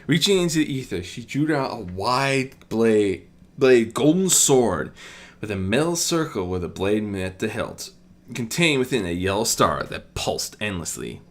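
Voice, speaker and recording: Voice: mid-range voice, big pitch swings, a little slow. An amateur storyteller reading fiction expressively and chatting in casual asides.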